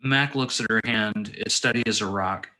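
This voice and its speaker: A man's voice: lowish voice, normal speed, bright, forceful tone, low-quality recording. A man reading screenplay stage directions aloud at a table read, in short, clipped phrases.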